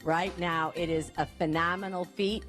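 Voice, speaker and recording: A woman with a slightly low voice thanks her staff in a heartfelt speech. She speaks a little haltingly, and faint music plays behind her. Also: fairly even intonation, a bit bright. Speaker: airport director giving a speech.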